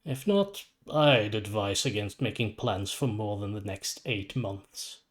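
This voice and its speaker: Storybook psychopomp villain played by a man: ancient, weary, courteous, measured and formal, unbothered. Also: lowish voice, lightly acted. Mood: quietly matter-of-fact. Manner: measured, formal, matter-of-fact. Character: calm, weary, ancient psychopomp supervillain, death's courteous guide.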